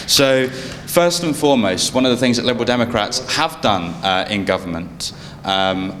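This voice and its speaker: Debate candidate, male, firm and pointed, somewhat low voice. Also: a little hiss or hum. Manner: forceful and rebuking. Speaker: parliamentary candidate. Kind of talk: debate answer at a hustings. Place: hall at a public hustings.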